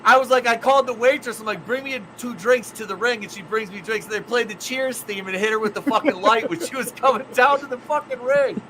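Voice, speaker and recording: Profane man with bright voice. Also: very high-pitched, brisk, hardly any pauses, a little hiss or hum, poor audio. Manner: swears freely, rambling storyteller. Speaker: podcast co-host and ring announcer. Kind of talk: casual profane chat.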